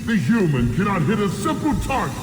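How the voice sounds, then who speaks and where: An actor playing a boastful, menacing alien clan herald in a video game, declaiming pompously and threateningly. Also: male voice, high-pitched, very animated, brisk, background noise. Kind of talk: video game villain acting. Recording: echoing large hall, music behind.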